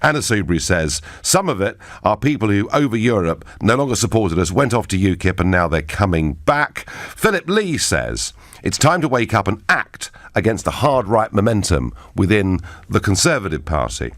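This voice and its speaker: A man's voice: on the low side, big pitch swings, moderate pace, dry recording, studio quality. A middle-aged man hosting a radio phone-in show, talking in an emphatic, opinionated way with wry asides. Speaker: radio talk show host.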